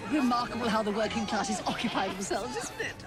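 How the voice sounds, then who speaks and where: An actress playing an imperious, curious and eccentric upper-class English Victorian politician's wife in a video game, with haughty, theatrical, airy diction. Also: female voice, fairly high pitch, expressive, a little fast, over a noisy crowd, natural voice. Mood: curious, amused. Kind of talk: video game dramatic acting. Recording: music behind the voice.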